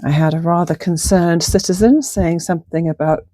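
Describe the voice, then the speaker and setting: A middle-aged woman chatting casually through a sewing project in her home sewing room, hoarse from a chest virus, upbeat and friendly. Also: lowish voice, moderate pace, mellow, no echo. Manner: chatty and upbeat, talks while working. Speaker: sewing vlogger. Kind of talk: casual craft tutorial talk. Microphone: clip-on lapel mic.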